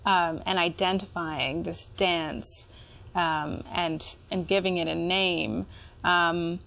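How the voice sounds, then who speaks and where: Woman, lowish pitch, unhurried slow delivery, candid, deliberate, reflective conversation. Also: steady tone, vocal fry, a bit bright. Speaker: client in couples therapy.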